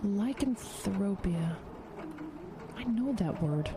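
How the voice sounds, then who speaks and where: A woman's voice: medium pitch, lively intonation, slow pace, over music. A woman playing a wary, inquisitive investigator in an audio horror drama. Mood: impatient.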